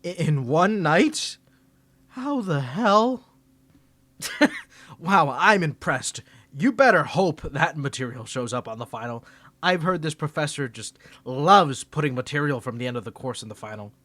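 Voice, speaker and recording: A man's voice: on the high side, animated, measured pace, a bit bright, no room sound. A young man voicing a smug, sarcastic tsundere college rival in a scripted ASMR roleplay, teasing and condescending.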